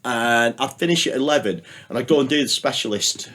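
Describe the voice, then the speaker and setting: A middle-aged man, speaking as a guest in a relaxed interview. He tells long, winding stories and keeps interrupting himself with "like" and "um". He drops in the odd swear word along the way. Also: mid-range voice, brisk. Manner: rambling, anecdotal, swears, many fillers. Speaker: podcast guest, radio producer. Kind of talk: casual chat.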